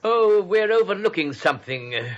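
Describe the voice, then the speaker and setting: A man's voice: high voice, sing-song, normal speed. A man playing a prim, apologetic superintendent in 1940s radio comedy, hesitant and formally polite. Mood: polite, officious. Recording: dull vintage radio recording.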